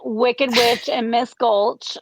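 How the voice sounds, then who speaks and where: A woman's voice: fairly high pitch, flat delivery, slow, no echo, rough recording. A woman in casual group chat, effusive and affectionate, with some noise on her line.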